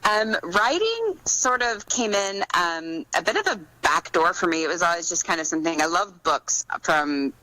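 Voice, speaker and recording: Female author guest, low-pitched with a bright edge, conversational. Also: lively intonation, moderate pace, few pauses, dry recording, poor audio. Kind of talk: casual interview chat.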